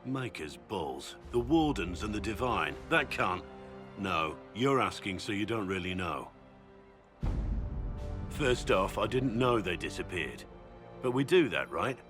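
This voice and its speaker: An actor playing a gruff, brooding veteran warrior in a video game, speaking in a blunt, earnest way. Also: male voice, lowish voice, very animated, a little slow, music in the background. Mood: blunt, defensive. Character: gruff, brooding bearded veteran warrior, a principled Grey Warden. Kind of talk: video game dialogue acting.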